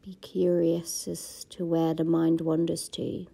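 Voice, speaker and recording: Woman meditation guide: dark, gravelly voice, soft and half-whispered, unhurried. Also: low-pitched, monotone. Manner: slow, soft-spoken, often half-whispered.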